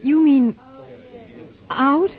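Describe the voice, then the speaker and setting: A nervous, high-strung frontier bride. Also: female voice, steady tone. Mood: frightened. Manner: anxious, halting, stammering. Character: nervous genteel young bride, frightened. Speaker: actress in radio drama. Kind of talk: 1950s radio drama acting. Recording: tinny lo-fi 1950s radio broadcast.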